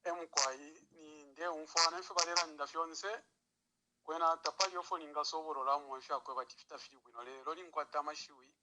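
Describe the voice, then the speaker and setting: A Zambian male caller gives his views off the cuff. His voice is a little high, and clear noise runs through the phone recording. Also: fairly even intonation, fairly quick. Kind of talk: spontaneous political commentary.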